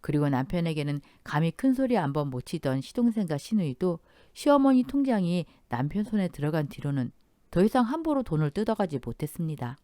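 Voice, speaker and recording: A woman narrating a story radio drama in a measured storytelling way. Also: lowish voice, very animated, dark tone, dry recording, studio quality.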